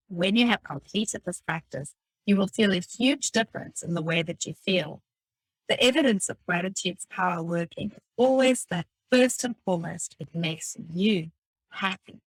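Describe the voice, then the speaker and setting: A woman reading a motivational self-help text aloud in a deliberate, instructive way. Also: on the low side, breathy edge, no room sound, low-quality recording. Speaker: reader of a self-help book. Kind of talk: scripted read-aloud of a motivational audiobook text.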